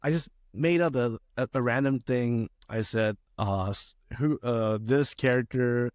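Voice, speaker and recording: A man's voice: medium pitch, a little slow, a little mellow, dry recording, studio quality. A young man from China, a theater student, talking casually as an interview guest with many fillers and restarts. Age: young adult.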